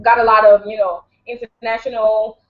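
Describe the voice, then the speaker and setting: A woman's voice: a little high, flat delivery, moderate pace. A Nigerian-born woman co-hosting a casual chat, rambling and joking as she talks. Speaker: co-host. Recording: echoey room, dull lo-fi sound.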